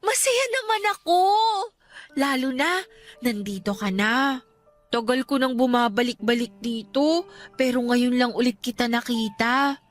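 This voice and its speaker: A woman's voice: fairly high pitch, animated, a little slow, soft background music. An actress playing a mentally ill young mother with a Filipino accent, childish, stubborn and skittish, in a radio drama. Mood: childlike, trusting. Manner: childish, petulant, sing-song.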